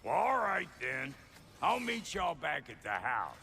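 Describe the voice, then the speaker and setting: An actor playing a gruff, cantankerous dwarf blacksmith with a Southern US accent and a gravelly, folksy voice in a video game. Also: male voice, fairly high pitch, wildly swooping pitch, a little slow, pushed and bright, slight background noise, exaggerated voice. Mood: gruffly welcoming. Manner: folksy, blunt, bossy. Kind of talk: cinematic video game acting.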